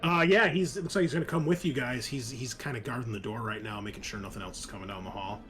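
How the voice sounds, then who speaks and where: A man with a mid-range voice runs a tabletop game as game master. He explains scenes and rules casually, often qualifying himself. Music plays clearly behind him. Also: mid-range voice, fast, fairly bright tone.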